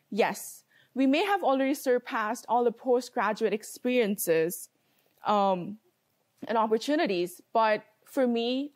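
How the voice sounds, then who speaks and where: A woman in her early twenties giving a prepared graduation speech as a student, reading in measured short phrases with pauses. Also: a little high. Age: early 20s. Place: large indoor hall with wooden floor and stone wall.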